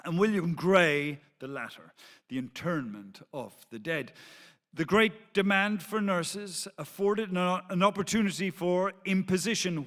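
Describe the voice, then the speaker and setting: A man's voice: on the high side, sharp tone. A man as a formal pamphleteer in a reverberant hall. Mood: matter-of-fact, then indignant. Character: male 18th-century pamphleteer, authoritative and censorious. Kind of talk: dramatic reading of a historical account.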